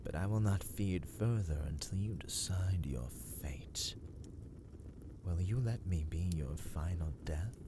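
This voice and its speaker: A man playing a brooding, self-loathing vampire in a dramatic ASMR roleplay, speaking in a formal, archaic, hushed and breathy manner. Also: deep voice, animated, slow, noisy background. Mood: hungry, solemn. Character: brooding, self-loathing male vampire, menacing yet conflicted.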